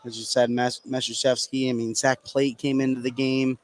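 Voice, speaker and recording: A man's voice: mid-range voice, flat delivery, moderate pace. A man working as color commentator, giving conversational analysis during a live basketball game. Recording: noisy live gym broadcast.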